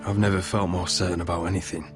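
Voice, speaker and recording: An actor playing a resolute young lord in a video game drama, firm, measured and earnest. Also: male voice, low-pitched, flat delivery, lightly acted. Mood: tender, certain. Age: young adult. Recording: music behind the voice.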